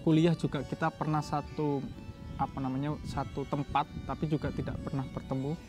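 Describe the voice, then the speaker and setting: A young man with a slightly high voice talks casually about his own life. He feels his way through phrases and corrects himself as he goes. Soft music plays behind him. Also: flat delivery, fairly quick. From Jombang, East Java, Indonesia. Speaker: doctoral student telling his story. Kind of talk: casual personal storytelling.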